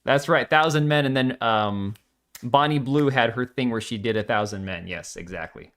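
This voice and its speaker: A middle-aged man running a live stream, with a voice of ordinary pitch for a man. He rambles loosely from point to point and tosses off quick jokes in answer to chat. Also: fairly bright tone. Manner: rambling, jokey, reacts to chat with quick asides. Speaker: livestream host.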